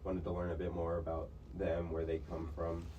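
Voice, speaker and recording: A man's voice: deep voice, completely flat delivery, moderate pace, recorded from a distance, highs cut off, noisy background. A young man, a student guest on a campus radio show, answering plainly and a bit hesitantly. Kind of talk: casual radio interview.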